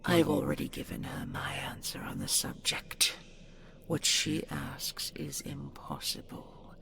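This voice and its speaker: A woman playing a proud, possessive female dragon in an audio roleplay, haughty and theatrical, with a gravelly, menacing delivery. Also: low-pitched, big pitch swings, a bit bright, in a whisper, heavily acted. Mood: scornful. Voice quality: gravelly, breathy growls. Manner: haughty, theatrical, slow deliberate threats.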